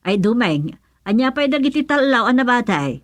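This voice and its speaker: Eager village contestant, Ilocano accent, fairly high voice, comic radio serial. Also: female voice, sing-song, moderate pace. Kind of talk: radio drama comedy acting.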